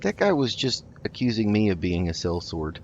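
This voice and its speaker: Male gaming host, low pitch, polite, halting, improvised roleplay commentary, slightly dull recording. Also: big pitch swings, darkish tone, a little hiss or hum.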